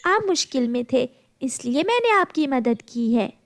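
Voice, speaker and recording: A woman's voice: high voice, big pitch swings, normal speed. A woman voicing a kind, worried mother bird in a cartoon, earnest and plaintive. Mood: earnest, kindly.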